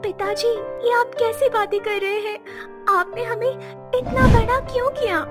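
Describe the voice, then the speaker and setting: A woman's voice: very high voice, steady tone, a little slow, over loud music. A woman voicing an outspoken, earnest young village woman in a cartoon family story. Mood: worried, insistent. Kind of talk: acted cartoon story dialogue.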